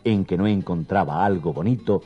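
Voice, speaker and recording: A man narrating a scripted children's story in an expressive, theatrical storytelling manner. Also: low-pitched, moderate pace, husky, highs cut off, soft background music.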